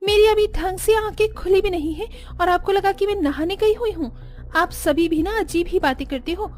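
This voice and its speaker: Casting: woman playing vain, brazen young daughter-in-law for animated family drama; high, snippy, whiny delivery over faint music. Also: moderate pace. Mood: snippy, defiant. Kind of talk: Hindi animated family story dialogue.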